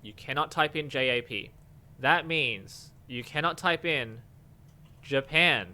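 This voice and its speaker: A man explaining something deliberately, spelling things out. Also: mid-range voice, bright, forceful tone.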